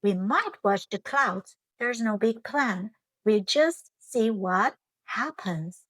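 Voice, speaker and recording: A woman reading a children's storybook aloud as host, with expressive, drawn-out phrasing. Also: medium pitch, dry recording.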